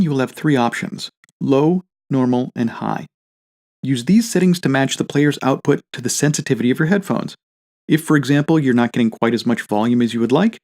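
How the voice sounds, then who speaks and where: A man reading a scripted product tutorial as a demonstrator, in a clear step-by-step instructional way. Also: medium pitch, darkish tone.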